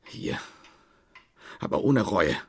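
An actor playing a bitter, defiant homeless brother in a German radio play, declamatory and brooding with sudden outbursts. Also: male voice, slow, natural voice. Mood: dark, brooding.

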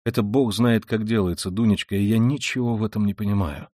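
A man reading an audiobook voices a tormented, proud and feverish young man who speaks quietly and wearily and flares into shouting rage. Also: lowish voice, steady tone, fairly quick, dry recording, studio quality, close to an everyday voice. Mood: weary, bitter. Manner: quiet and weary, then bursts into shouting rage. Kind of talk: audiobook reading of a classic novel.

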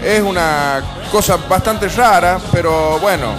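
A man with an Argentine Rioplatense accent, talking casually and in a rambling, halting way as a radio co-host. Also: high-pitched, over music, crowd noise. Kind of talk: casual live radio talk. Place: bar, live radio broadcast.

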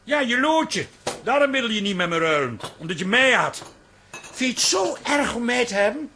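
An actor playing a wounded, sulky husband, sarcastic and melodramatic, in a radio comedy drama. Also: male voice, extremely high pitch, very animated, normal speed, sharp tone, a little hiss or hum, full character voice. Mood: hurt, accusing.

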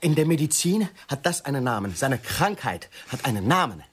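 A man's voice: mid-range voice, big pitch swings, normal speed, breathy edge. An actor playing an exasperated, plaintive man in a radio drama, pleading and protesting. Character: exasperated, plaintive man caught between quarrelling friends. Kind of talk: German radio drama acting.

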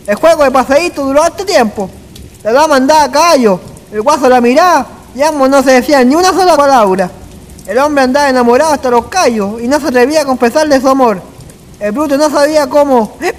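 Rustic Chilean storyteller, very high voice, folksy country talk, radio play, long reverb. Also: male voice, fast talker. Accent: rural Chilean. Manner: folksy, colloquial. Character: rustic country man telling tavern anecdotes. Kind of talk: radio drama acting, colloquial storytelling. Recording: long reverb, faint music behind.

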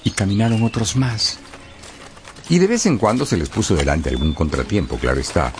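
A man narrating a radio drama as a wry, expressive storyteller. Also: on the low side, moderate pace, slight background noise, natural voice. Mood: wry storytelling. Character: wry, leisurely male storyteller. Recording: studio radio drama with background music.

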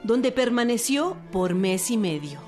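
A woman's voice: fairly high pitch, animated, unhurried, a little mellow, soft background music. A woman giving scripted narration for a historical radio drama.

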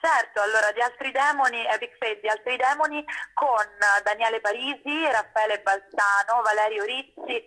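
A woman's voice: fairly high pitch, moderate pace, bright, forceful tone, rough recording. A young woman in a radio interview, explaining things in an articulate, conversational way and often checking in with short tag questions. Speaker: guest, actress and playwright.

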